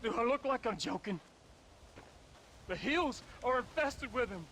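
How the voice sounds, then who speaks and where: An actor playing a fierce, paranoid backwoods hunter with a rural American accent and a manic, ranting manner. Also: male voice, very high voice, expressive, unhurried, a bit bright, heavily acted, low-quality recording. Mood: agitated. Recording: faint outdoor ambience noise.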